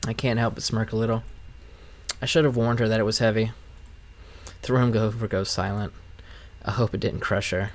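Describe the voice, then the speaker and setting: A man's voice: on the low side, flat delivery, brisk, pauses often, slight background noise. A man streaming a game, reading story narration aloud and giving casual commentary as host. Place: game playthrough recording with music behind.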